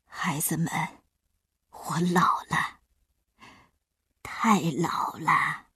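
A woman voicing a weary old grandfather storyteller in a cartoon dub, speaking slowly in a breathy, whispery way. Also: lowish voice, dry recording, clean studio sound, far from the mic, pitched below the performer's own voice, full character voice. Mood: tearful.

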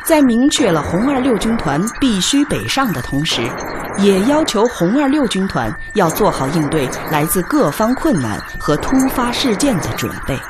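A woman's voice: mid-range voice, big pitch swings, moderate pace, music in the background. A woman giving solemn, carefully enunciated scripted narration for a radio drama.

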